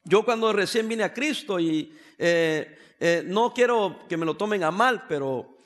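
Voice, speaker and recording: A man's voice: high voice, expressive, a little slow. A man from El Salvador preaching a sermon as pastor of a church, conversational and joking as he talks to the congregation. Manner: conversational preaching with humorous asides.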